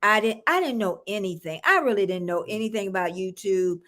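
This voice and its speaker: A woman from the United States hosting a casual live-stream chat, chatty and quick to laugh. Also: medium pitch, animated, a bit bright. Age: older. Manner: laughs often, chatty and encouraging. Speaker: host.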